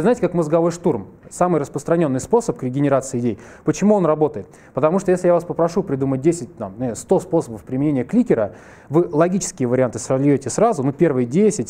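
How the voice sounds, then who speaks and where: A man in his thirties teaches a live workshop in a wood-panelled hall, talking through a headset mic. He races along in a mellow, rather high voice, joking with the room. He's a bit under the weather, and a rough throat shows now and then. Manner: lively, conversational, jokes with the audience. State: slightly ill, clears throat. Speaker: workshop presenter. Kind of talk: live masterclass lecture.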